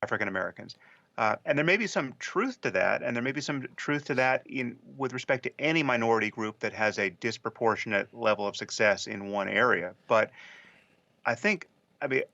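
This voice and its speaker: Male podcast voice, measured and careful, bright with fry, lo-fi clip. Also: mid-range voice, lively intonation, no echo. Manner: measured, careful, frequent restarts. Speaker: podcast discussion participant. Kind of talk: thoughtful conversation.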